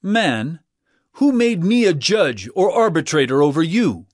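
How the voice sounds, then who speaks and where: An actor playing an earnest teacher preaching to his followers in a dramatized scripture reading, with solemn, emphatic, measured oratory. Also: a little high, sing-song, no echo, clean studio sound. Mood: stern.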